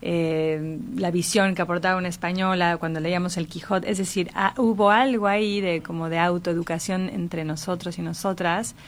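Lower-pitched Mexican woman, thoughtful talker. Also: steady tone, normal speed, hardly any pauses, a little hiss or hum. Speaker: interviewee, writer. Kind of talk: reflective literary conversation.

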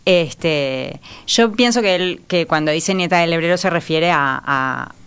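A woman in her thirties from Uruguay, with a Uruguayan accent softened by years in Spain, answering interview questions thoughtfully with frequent pauses and fillers. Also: sits low, smooth. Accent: Uruguayan Spanish mixed with Spain. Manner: thoughtful, hesitant, many fillers. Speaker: writer, interview guest. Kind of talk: conversational interview.

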